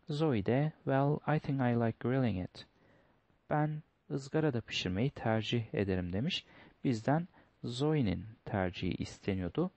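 A male teacher works through test answers in a steady, methodical way. His voice sits at a medium pitch. The recording is dry and close, with no room sound. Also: no echo, dull audio. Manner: step-by-step, methodical, reads English aloud then translates. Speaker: English teacher.

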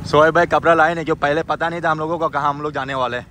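A young man casually vlogging outdoors at a lake, excitedly pointing things out to viewers. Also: a little high. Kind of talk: casual vlog narration. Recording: noisy outdoor recording with rushing water.

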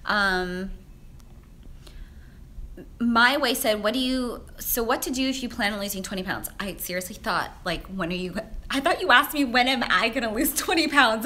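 Bright, chatty young woman on a handheld phone. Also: on the high side. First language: Vietnamese. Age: young adult. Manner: chatty, upbeat, laughs often, many fillers. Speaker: menswear stylist answering viewer questions. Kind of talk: casual live Q&A. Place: high-rise office with large windows, indoors. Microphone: handheld phone at arm's length, shaky.

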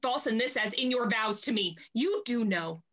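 A woman's voice: a little high, expressive, moderate pace, fairly bright tone. A young woman playing a jealous, imperious jilted lady in a Restoration comedy, melodramatic and haughty. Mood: outraged, jealous. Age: young adult. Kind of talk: theatrical period stage acting over video call. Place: indoor room at home, on video call.

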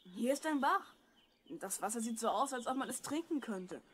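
A curious twelve-year-old boy played by a woman, with a fairly high voice. He is a slightly cautious young adventurer in a children's radio play. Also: animated, moderate pace, slightly rough. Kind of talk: children's audio drama acting.

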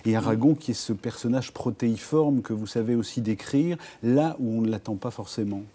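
A man's voice: medium pitch, fairly quick, mellow, breathy edge. A middle-aged man hosting a late-night TV interview in a studio, asking probing questions with hesitant restarts. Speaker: host. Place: TV studio set.

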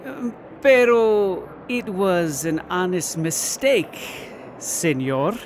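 Slow, apologetic theatre worker. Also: female voice, lowish voice, noisy background. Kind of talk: audio drama acting.

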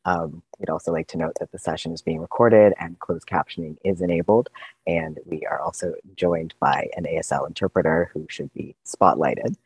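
A man's voice: deep voice, steady tone, normal speed, breathy edge, no echo, poor audio. A man giving prepared opening remarks for an online workshop he co-facilitates, speaking in an earnest, read-out way over a video call.

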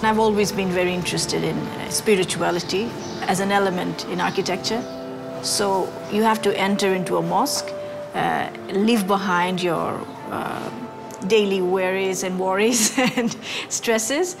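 A woman architect in her forties, speaking thoughtfully and measured in an interview, with pauses and fillers. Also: mid-range voice, gravelly. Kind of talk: interview about her work. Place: indoors in a brick building. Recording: music bed underneath.

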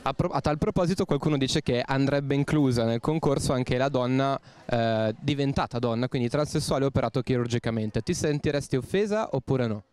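A man with a smooth, resonant voice at an everyday pitch, reporting from the street. He puts prepared questions to passers-by clearly and evenly. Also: brisk, hardly any pauses, darkish tone.

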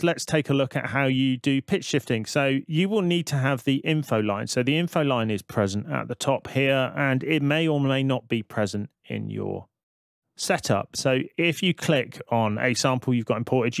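A man narrates a software tutorial in a casual, conversational way. His voice sits at a middle pitch and is recorded close and dry. He explains each step as he goes, like a tutor talking through a screen recording. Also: vocal fry, dry recording.

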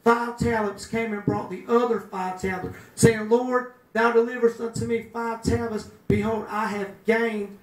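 A middle-aged man preaching a sermon at a pulpit, breaking into shouts and drawing call-and-response from the room. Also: very high-pitched, little pitch movement, moderate pace. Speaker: pastor. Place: small chapel room with a pulpit. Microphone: handheld mic, filmed by a far camera. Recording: echoey room from far off.

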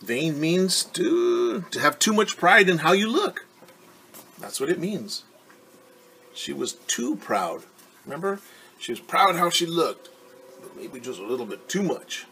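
A man in his forties reading a storybook aloud as a teacher, pausing to explain words and ask questions. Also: on the high side, sing-song, vocal fry, sharp tone, a little hiss or hum, low-quality recording. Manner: explains words slowly, asks rhetorical questions. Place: small room at home.

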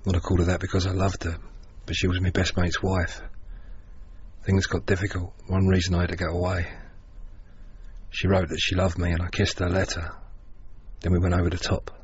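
A man acting a wistful thirtyish soldier in a radio play. He has a deep, monotone voice and a confiding manner. The recording is muffled. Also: fairly quick, slight background noise, natural voice. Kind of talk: radio drama monologue.

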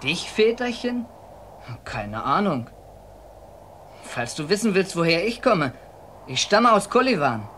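An actor playing a good-natured, folksy Siberian wagon driver in a German audio drama. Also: male voice, high-pitched, very animated, takes it slowly, long pauses, fairly bright tone, a little hiss or hum, exaggerated voice. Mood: easygoing.

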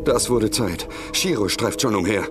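A man's voice: fairly low pitch, normal speed, music in the background, lightly acted. A man voicing a grave, impatient messenger ally in a fantasy game.

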